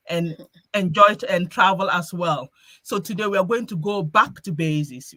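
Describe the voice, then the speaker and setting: A woman's voice: lowish voice, a bit bright, no room sound. A woman hosting a live-stream group chat, talking in a chatty way and often restarting her phrases. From Ghana. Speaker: host.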